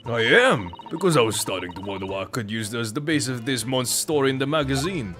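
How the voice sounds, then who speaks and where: A man's voice: mid-range voice, animated, fairly quick, over music, lightly acted. A man voicing an eager, bubbly young girl who writes detective stories, in a theatrical manner for a video game. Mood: pleased, excited.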